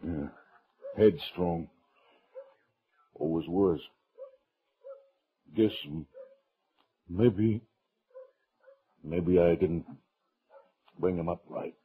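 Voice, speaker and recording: An actor playing a weary father in a 1950s radio western, with a husky voice and a halting, resigned delivery. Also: low-pitched, full character voice. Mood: bitter resignation. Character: weary, halting father of a headstrong young man. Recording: muffled, tinny vintage radio recording.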